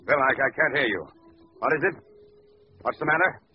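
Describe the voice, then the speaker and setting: An actor playing an anxious doctor friend in an old radio drama, speaking urgently. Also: male voice, mid-range voice, lightly acted. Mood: frantic. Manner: urgent, rapid questions. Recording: dull old radio recording with hiss.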